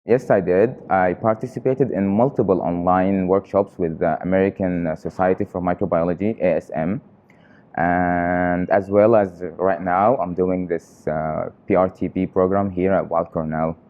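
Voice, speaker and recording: A young man from Qatar, a research trainee, answering interview questions in an earnest, halting way with frequent pauses. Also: sits low, fairly even intonation, narrow, tinny audio. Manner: earnest, halting, often pausing on fillers.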